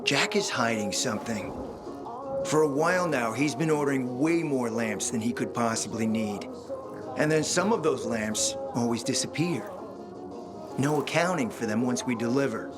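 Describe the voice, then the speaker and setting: An actor playing a suave, scheming middle-aged spymaster in a video game, speaking in a theatrical, smooth, insinuating way. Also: male voice, mid-range voice, lively intonation, moderate pace, close to an everyday voice. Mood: conspiratorial. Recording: loud music behind the voice.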